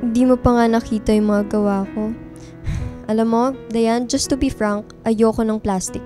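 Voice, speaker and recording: A guarded young woman student in a radio drama, played by a woman, with a fairly high but very mellow voice. She is frank and dry in her delivery, and faint music sits underneath. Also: fairly even intonation, moderate pace, natural voice. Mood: blunt, defensive. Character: guarded, blunt, introverted young female student. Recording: music bed behind dialogue.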